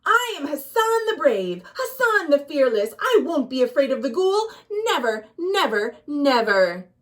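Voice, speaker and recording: A woman puts on a brave young village boy from a children's storybook. The voice is high and leaps around in pitch, with bold, friendly, declamatory emphasis. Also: big pitch swings, a little slow. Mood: defiant, boastful.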